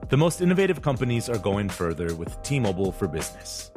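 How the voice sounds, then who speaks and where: A man's voice: on the low side, very animated, fast, a little mellow. A man delivering a scripted corporate commercial as an announcer. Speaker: advertisement announcer. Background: music bed.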